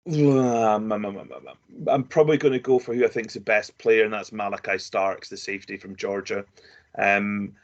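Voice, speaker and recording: Male panelist, lower register, hesitant casual delivery, muffled lo-fi recording. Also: fairly even intonation, moderate pace. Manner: hesitant, many ums, laughs easily. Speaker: draft analyst, co-host. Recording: dull lo-fi audio.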